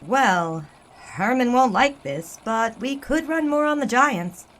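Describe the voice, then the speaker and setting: An actress playing a brisk young woman in a 1920s newsroom, the editor's daughter, relaying news efficiently. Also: on the high side, lively intonation, a bit bright, poor audio. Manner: brisk, informative.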